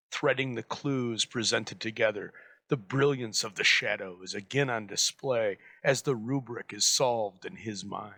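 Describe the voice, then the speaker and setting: A man giving a measured, scripted introduction as the host of a vintage radio series. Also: lowish voice, bright, forceful tone, dry recording. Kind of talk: scripted narration.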